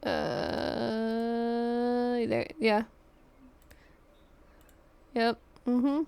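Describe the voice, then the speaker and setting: A woman livestreaming a game, chatting casually in halting, rambling self-talk. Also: on the high side, steady tone, creaky, a little mellow, smooth.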